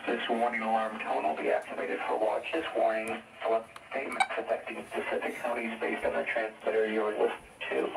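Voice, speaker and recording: A man's voice: fairly low pitch, fairly even intonation, a little fast, creaky, few pauses. A man's voice reading a formal scripted announcement through a weather radio speaker. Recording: very noisy radio speakers, distant mic.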